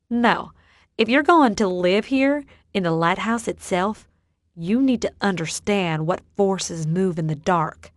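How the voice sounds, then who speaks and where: A woman voicing a folksy woman who keeps her family's old stories and warns of forces in the dark. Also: mid-range voice, no echo, studio quality, lightly acted. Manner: folksy, ominous. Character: folksy woman steeped in local ghost lore.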